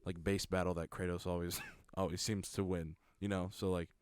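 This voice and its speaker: A man's voice: level tone, normal speed, darkish tone, clean tone. A man co-hosting a casual gaming podcast, talking in a rambling way with frequent laughs and restarts. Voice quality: low voice. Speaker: podcast co-host. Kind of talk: casual chat.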